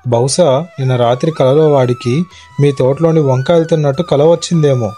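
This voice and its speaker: A man playing a witty, wily court poet in an animated moral story, exclaiming and persuasive in a colloquial way. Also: medium pitch, moderate pace, soft background music, natural voice. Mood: feigned innocence. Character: witty, wily adult male court poet, husband and father.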